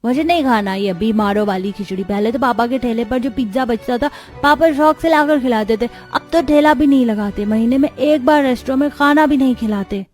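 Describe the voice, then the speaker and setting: A woman's voice: a little high, brisk, a little mellow, faint music behind, natural voice. A woman voicing a pouting, demanding, cheeky young child in a cartoon story. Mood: sulky, complaining.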